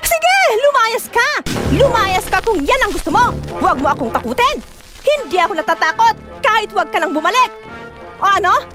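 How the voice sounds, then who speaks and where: Very shrill, nagging young wife. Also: female voice, big pitch swings, loud music behind the voice. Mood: furious, taunting. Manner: scolding, accusatory, rapid repeated questions. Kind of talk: Tagalog radio-style drama acting.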